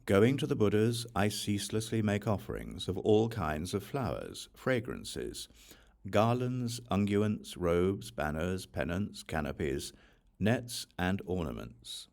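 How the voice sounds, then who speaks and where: A man reads a sacred text aloud as an audiobook, in measured, solemn phrases with deliberate pauses. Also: sits low, animated, gravelly, darkish tone. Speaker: audiobook reader of scripture. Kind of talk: scripted reading of a Buddhist sutra.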